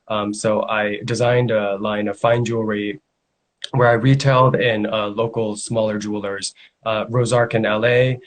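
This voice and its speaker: A man's voice: lowish voice, flat delivery. Hong Kong man with frequent fillers. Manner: measured, hesitant with many fillers. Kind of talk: video call interview. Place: home studio. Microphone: video call.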